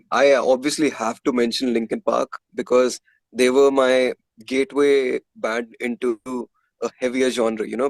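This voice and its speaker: A man's voice: medium pitch, no room sound, poor audio. Indian man chatting casually in an interview. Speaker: musician being interviewed.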